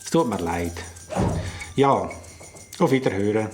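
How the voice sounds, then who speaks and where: A man's voice: on the low side, expressive, a little slow, loud music behind the voice. A man with a Swiss German accent playing an earnest police officer at a small station in a children's radio play, talking plainly and a little hesitantly. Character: earnest male desk policeman. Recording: noisy recording.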